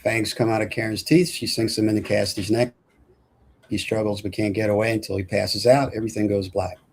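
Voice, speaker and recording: A man with a fairly low voice reads film stage directions aloud. His delivery is plain and matter-of-fact, as scripted narration at a table read. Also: flat delivery, brisk, darkish tone, slight background noise.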